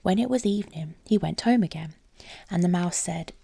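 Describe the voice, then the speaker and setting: A woman storyteller reading a fairy tale aloud in an expressive style. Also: lowish voice, normal speed, creaky, husky.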